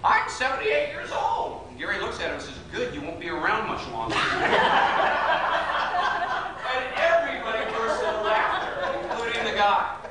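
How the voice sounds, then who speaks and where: A man's voice: high-pitched, sing-song, moderate pace, a loud crowd, very noisy. A man leading a live workshop, telling jokes and teasing people in the room. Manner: playful storyteller, teases audience members. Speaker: workshop leader. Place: large hotel meeting room. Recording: echoey room recorded from far off.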